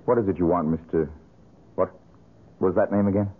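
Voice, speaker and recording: An actor playing a bitter, brooding failed painter in a 1970s radio drama, gruff, sullen and curt. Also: male voice, deep voice, steady tone, normal speed, background noise, natural voice. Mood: gruff, suspicious. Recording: muffled lo-fi old radio broadcast.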